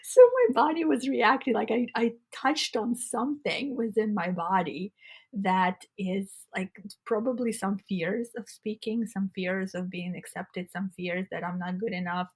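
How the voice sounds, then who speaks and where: Raspy-voiced woman, congested throat. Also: mid-range voice, measured pace, a little mellow, rough recording. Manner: earnest, restarts phrases often. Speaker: podcast co-host. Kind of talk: casual spiritual chat.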